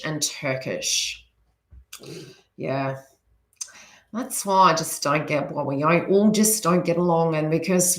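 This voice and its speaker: Bright-voiced woman, echoey room. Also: low-pitched. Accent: slight New Zealand. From New Zealand. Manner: rambling, conversational asides to viewers. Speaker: host, psychic reader chatting with live viewers. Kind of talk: casual livestream chat, spiritual advice. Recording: roomy, echoey sound.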